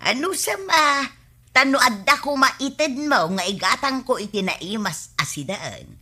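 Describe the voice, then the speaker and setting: A woman's voice: high-pitched, lively intonation, unhurried, pushed and bright, heavily acted. A woman playing a nagging, scolding housewife with an Ilocano accent in a radio comedy-drama.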